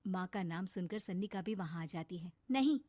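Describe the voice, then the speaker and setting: A woman's voice: on the low side, steady tone, brisk, a little mellow, dry recording. A woman reading scripted story narration as the narrator.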